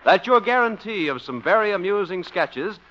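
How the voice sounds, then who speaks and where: A man in the role of a 1950s network radio announcer. His voice is bright and animated, with a muffled old broadcast sound. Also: sits high, normal speed.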